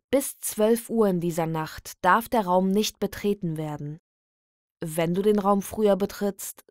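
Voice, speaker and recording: A woman reading a scripted creepy ritual guide as a horror narrator, in a measured, deliberate, instructional manner. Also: medium pitch, no echo. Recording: dry studio voice over background music. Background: background music.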